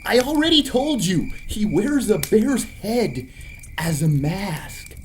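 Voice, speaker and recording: A man's voice: high voice, sing-song, slow, a little hiss or hum, exaggerated voice. An actor playing a cocky young man, a theatrical, easily exasperated camp counselor telling campfire stories in an audio drama.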